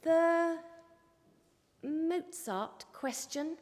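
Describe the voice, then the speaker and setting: A woman's voice: extremely high pitch, drawn-out words, darkish tone, in a large hall, pitched above the performer's own voice, full character voice. A woman voicing a young female cub reporter, eager to please and a little nervous, in an audiobook. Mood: tentative. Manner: tentative, hesitant, eager to please. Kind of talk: audiobook character voice.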